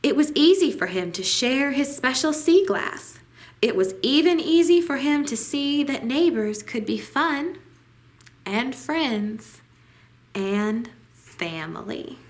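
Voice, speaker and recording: Slow, expressive woman reading a storybook. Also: high-pitched. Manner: deliberate, dramatic phrasing. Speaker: library storytime reader. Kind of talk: expressive children's picture book reading.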